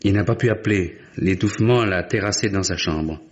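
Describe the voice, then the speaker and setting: A man's voice: deep voice, a little fast, lo-fi sound, lightly acted. An actor playing an earnest, troubled young married heir in his late twenties, in an old-style French radio drama. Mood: sombre, recounting.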